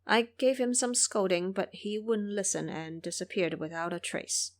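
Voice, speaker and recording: A woman's voice: medium pitch, moderate pace. A woman narrator reading a scripted story in a dramatic storytelling style.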